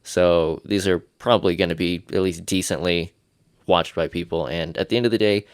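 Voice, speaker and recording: Deep-voiced congested guy rambling on his podcast, self-deprecating jokes, dry close sound. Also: fairly even intonation, normal speed, dry recording. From Irish. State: congested, stuffy from sinuses. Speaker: podcast host. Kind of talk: solo podcast chat.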